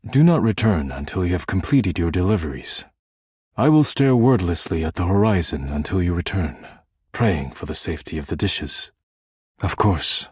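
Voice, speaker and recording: Low, stern, deadpan game character. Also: male voice, husky, no room sound, clean studio sound, heavily acted. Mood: stern, disdainful. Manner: formal, clipped, deadpan. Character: stern, proud, humorless living dishware character, male. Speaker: voice actor in a video game. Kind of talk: scripted game character dialogue.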